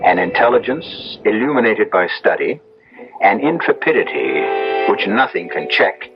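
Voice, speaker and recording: Man, low voice, ominous scripted mystery narration, deliberate phrasing, dull vintage radio sound, music behind. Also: expressive.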